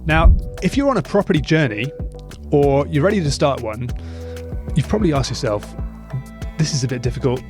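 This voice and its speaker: Male podcast host, relaxed. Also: on the high side, a little fast, faint music behind. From England. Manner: friendly, loose, filler-heavy. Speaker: podcast host, mortgage broker. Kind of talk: podcast interview.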